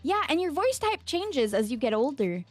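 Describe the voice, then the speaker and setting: A young woman from the Philippines talks casually on a desk mic, rambling with fillers and frequent laughs as she answers her viewers. A lingering sore throat keeps her voice low. Faint music plays underneath. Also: very animated, normal speed. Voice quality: mezzo-soprano singing voice. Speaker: streamer. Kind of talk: casual chat with chat readers.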